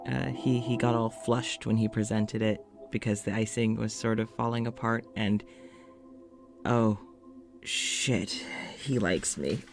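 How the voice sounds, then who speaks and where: An actor playing an anxious, self-doubting male doctor in an audio drama, rambling haltingly and self-deprecatingly through a confessional monologue. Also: mid-range voice, vocal fry, a little mellow.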